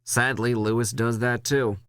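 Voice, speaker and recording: A man reading a dramatic first-person horror story in a measured, intense way, with music behind him. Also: mid-range voice, level tone, a bit bright, clear, resonant tone, no echo, clean studio sound.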